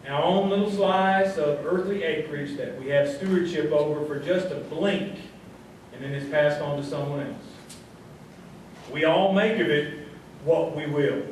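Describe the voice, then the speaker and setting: Male live radio show host, folksy, higher-pitched, distant in a strongly reverberant hall. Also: unhurried, darkish tone. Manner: folksy, addresses the audience as folks. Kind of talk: live variety show hosting, folksy monologue. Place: live show before an audience. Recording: echoey hall, distant mic, faint crowd noise.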